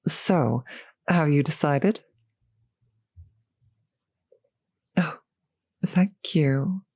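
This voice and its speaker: Slow, genteel female vampire, acted by a woman. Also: deep voice, a little mellow, no echo, full character voice. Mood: flirtatious, tender. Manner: polite, formal, old-fashioned phrasing, solicitous. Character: courteous, genteel female vampire, gently seductive host. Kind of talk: intimate audio roleplay addressed to the listener.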